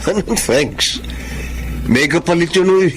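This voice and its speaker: A man's voice: on the high side, lively intonation, slow, fairly bright tone, slight background noise, poor audio. A man with a Filipino accent acting as a kindly, teasing university professor in a radio-style drama. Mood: teasing, friendly.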